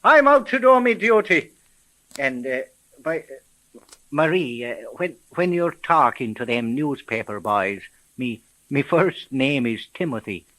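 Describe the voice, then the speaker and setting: An actor playing a proud, stubborn Irish police sergeant in a 1930s radio drama. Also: male voice, mid-range voice, very animated, a little slow, sharp tone, poor audio. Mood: bashful, self-important.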